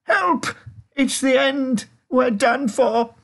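A man voicing an excitable old grandfather in a storybook reading, shouting and spluttering his exclamations. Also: extremely high pitch, drawn-out words, vocal fry, voice pushed up, full character voice. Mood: panicked.

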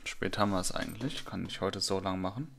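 A man's voice: low-pitched, fast talker, gravelly, fairly bright tone, slight background noise. A man hosting a let's play with casual, rambling commentary, half talking to himself. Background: video game sounds.

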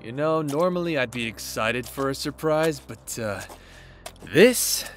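Demon companion voiced by a man: mid-pitched, sly, bored and mocking, with a casual modern foul-mouthed swagger. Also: lively intonation, a little slow, faint music behind, close to an everyday voice. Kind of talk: fantasy audio roleplay acting.

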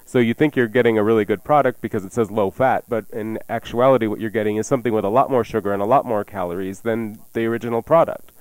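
Fast-talking man with a lower voice. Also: darkish tone, clean tone, no echo, poor audio. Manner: conversational, wry joking asides. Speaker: radio cooking show host. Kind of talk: casual radio cooking talk. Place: radio studio. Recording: music playing behind the voice. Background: music.

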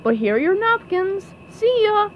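A woman's voice: very high voice, very animated, unhurried, dull audio, slight background noise, pitched above the performer's own voice, full character voice. A woman voicing a casual, amused male delivery driver in a cartoon storybook. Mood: offhand.

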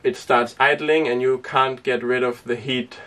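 A young man who grew up speaking Danish, chatting casually to camera inside a small sailboat, relaxed and rambling with lots of fillers. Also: medium pitch, a little slow, far from the mic. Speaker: sailing vlogger. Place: inside a small sailboat cabin and cockpit tent.

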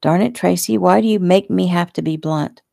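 A woman narrator voicing a weary, earnest young man in an audiobook, halting and placating in manner. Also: fairly low pitch, creaky, mellow, no room sound, studio quality.

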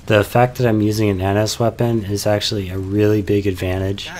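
Low, flat-voiced man with a cold. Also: a little fast, a little hiss or hum. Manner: calm, explanatory, many "uh" fillers. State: slightly stuffy from a cold. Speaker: gameplay commentator. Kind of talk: voice-over commentary on game footage.

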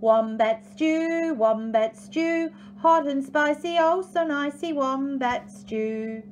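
A woman's voice: a little high, very animated, slow pace, a little hiss or hum. A woman voicing a boastful, gleeful male dingo in a storybook, theatrical and sing-song.

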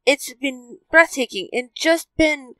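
Bright, high-voiced wistful heroine played by a woman. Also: slow pace, no room sound. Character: Himiko, weary female hero ranger, wistful and grumbling. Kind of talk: audio drama character acting.

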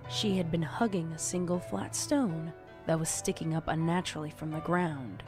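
Female horror story narrator, fairly low voice, measured and dramatic, clear music underneath. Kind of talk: dramatic scripted narration of horror fan fiction.

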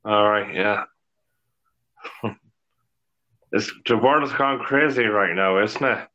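A man's voice: lowish voice, slow pace, pushed and bright, slight background noise. A man from Ireland with an Irish accent, chatting casually on a video call, swearing now and then and laughing a lot. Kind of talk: casual chat. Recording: dull lo-fi call audio.

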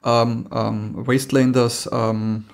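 A man, co-hosting a podcast in casual chat, rambling and halting as he talks. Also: medium pitch, level tone, vocal fry. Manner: rambling, halting, lots of fillers. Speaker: podcast co-host.

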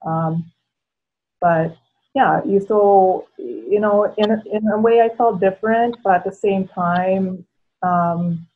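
A woman's voice: mid-range voice, slow. A woman in her thirties, born in the Philippines with Tagalog as her first language, sharing her story reflectively on a video call from home, with many ums and likes. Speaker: panel guest. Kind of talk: casual personal storytelling. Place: home living room. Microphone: laptop webcam on a video call. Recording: tinny video call audio.

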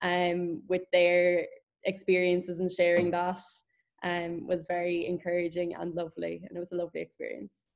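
Young woman actor, low and flat. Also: moderate pace, vocal fry. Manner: soft, hedging with likes and ums. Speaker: actor, festival participant. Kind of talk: casual sharing on a video call.

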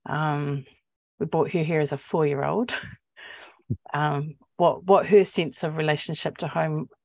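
Low-pitched New Zealand woman, thoughtful. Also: vocal fry, a little mellow, no room sound. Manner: thoughtful, halting, searching for words. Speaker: poet and academic. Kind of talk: reflective panel talk. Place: book-lined office, indoors. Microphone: earphone mic on video call.

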